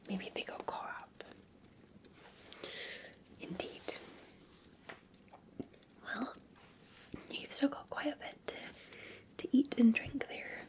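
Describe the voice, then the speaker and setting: A Scottish woman speaks in a breathy whisper, taking her time over every phrase. Her delivery is gentle and soothing, in the style of an ASMR roleplay where she plays a café barista. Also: fairly high pitch, slow, long pauses. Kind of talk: soft-spoken ASMR roleplay.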